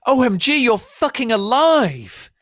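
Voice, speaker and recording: A man's voice: very high-pitched, big pitch swings, unhurried, fairly bright tone, no echo, clean studio sound, voice pushed up, exaggerated voice. Man putting on a shrieky teenage goth girl. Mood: shocked delight. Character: brash, foul-mouthed teenage goth girl friend.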